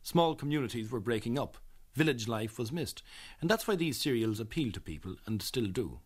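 A man with an Irish accent presenting scripted documentary narration in a measured, earnest manner. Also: mid-range voice, expressive, slightly rough.

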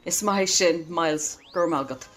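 Woman, Dublin accent, low pitch, chatty explaining tone, radio guest historian. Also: normal speed, a bit bright, soft background music. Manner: chatty, laughing often, explanatory. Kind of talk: radio interview.

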